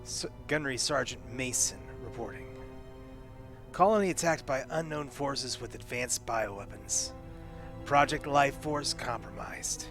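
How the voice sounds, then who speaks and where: A man's voice: medium pitch, close to an everyday voice. A man reading in character as a grim male marine sergeant giving a terse final report in a tabletop game.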